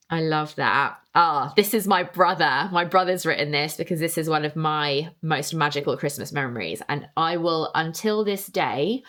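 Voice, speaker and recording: A woman's voice: deep voice, fairly bright tone. A young woman hosting a casual solo podcast, chatting in a rambling way and reading out listener answers. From England. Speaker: podcast host.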